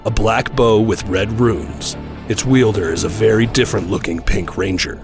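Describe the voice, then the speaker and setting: A man's voice: lowish voice, moderate pace, creaky. A man reading scripted narration for an action audio drama. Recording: voice over loud trailer music.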